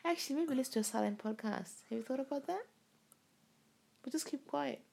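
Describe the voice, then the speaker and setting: Sweary, gravelly-voiced woman podcaster. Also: high-pitched, animated, fairly quick, darkish tone. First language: not English. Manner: sweary, rambling, laughs often. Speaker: podcast co-host. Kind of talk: casual tipsy chat. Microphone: home recording, lying down.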